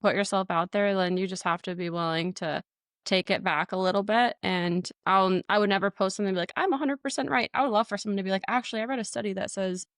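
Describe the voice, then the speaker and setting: Gravelly-voiced young woman talking candidly. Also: mid-range voice, fairly even intonation, quick pace, a bit bright, dry recording, clean studio sound. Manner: candid, laughs often, many fillers. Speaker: podcast guest, flight nurse. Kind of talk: casual interview talk.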